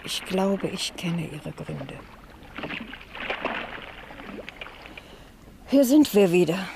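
Timid, wistful ageing niece. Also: female voice, on the low side, animated, a little slow, vocal fry, noisy background. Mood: guarded, shy. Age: middle-aged. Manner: hesitant, subdued. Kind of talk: German radio drama acting.

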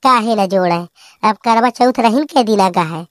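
A woman's voice: medium pitch, moderate pace, no room sound, lightly acted. A woman voicing a bickering village spouse in a comedy cartoon, with a Bagheli accent and a scolding manner. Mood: musing.

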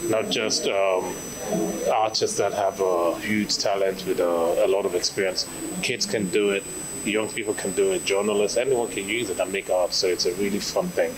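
A man's voice: low voice, steady tone, moderate pace, background noise. A middle-aged man giving an interview as an arts director, into a handheld microphone indoors. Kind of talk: interview soundbite. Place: indoor gallery event. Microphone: handheld reporter microphone. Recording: crowd murmur at indoor event.

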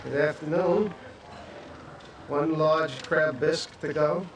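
An actor playing a nervous, meek, fussy customer in a sitcom, speaking in a careful, clipped way. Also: male voice, a little high, slow pace. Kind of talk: sitcom acting. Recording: roomy, distant, noisy.

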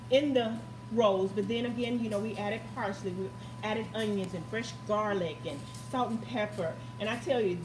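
A middle-aged woman hosting a home cooking show, chatting casually and often checking in with viewers with "guys" and "okay?". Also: medium pitch. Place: large home kitchen, indoors. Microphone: camera mic across the kitchen. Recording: roomy, distant, noisy kitchen sound.

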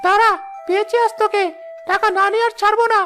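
Cartoon role: bad-tempered trader chasing debts, very high, flat-pitched, insistent. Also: female voice, quick pace, faint music behind. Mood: demanding. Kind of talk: cartoon voice acting.

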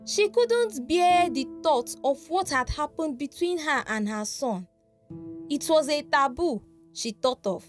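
A woman narrating a scripted folktale in a dramatic storytelling manner. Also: high voice. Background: background music.

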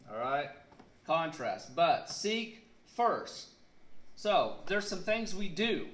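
A man in his thirties preaching a sermon in a church on a handheld mic, earnest and halting with fillers, leaning hard on key words. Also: fairly high pitch, a bit bright. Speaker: pastor. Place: church sanctuary, indoors. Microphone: handheld mic, filmed by a camera across the room. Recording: echoey church room.

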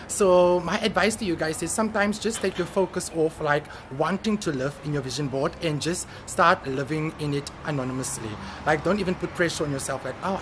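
A man vlogging casually, chatting directly to his viewers. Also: fairly high pitch. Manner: chatty, addresses viewers as guys. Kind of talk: casual vlog chat to viewers. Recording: noisy vlog audio with background ambience.

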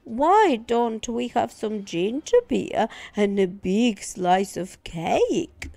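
A woman voicing a welcoming, short-sighted old storybook grandmother with drawn-out, hospitable phrases. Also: on the high side, animated, gravelly, dark tone, no echo, faint music behind, heavily acted. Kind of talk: storybook character voice.

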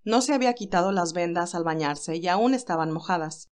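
A woman's voice: on the low side, lively intonation, brisk. Woman narrating a dramatic story.

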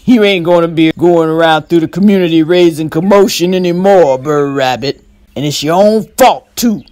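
A woman voicing a sly, greedy male fox who gloats in a loud, slow, drawn-out way in a storybook read-aloud. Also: on the low side, no echo, close to an everyday voice. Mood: gloating.

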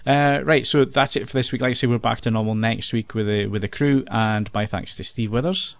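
A man's voice: medium pitch, a little fast, vocal fry, no room sound. A man hosting a podcast, chatting casually and rambling a little as he wraps up the episode. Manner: relaxed, chatty, rambling wrap-up. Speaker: podcast host. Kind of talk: casual chat.

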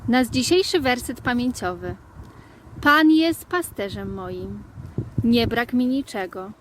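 Slow woman reciting, noisy background. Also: expressive. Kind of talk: reciting a Bible memory verse. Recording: clear background noise, ambient rumble.

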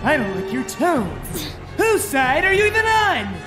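A man playing an excitable, whining sports announcer in an anime parody dub, dramatic and complaining. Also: extremely high pitch, unhurried, over music, heavily acted. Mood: dismayed.

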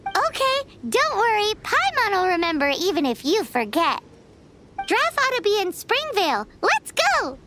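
A woman voicing a tiny, childlike fairy companion in a video game, squeaky, chirpy and cheeky. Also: wildly swooping pitch, unhurried, bright, forceful tone, slight background noise, exaggerated voice. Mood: upbeat. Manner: speaks of self in third person, pert.

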